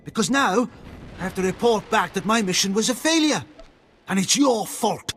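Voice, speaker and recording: A man's voice: high voice, animated, moderate pace, fairly bright tone, slight background noise. An actor playing a self-serving, petulant male Imperial agent in a video game, huffy and clipped. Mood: indignant. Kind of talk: video game cutscene acting.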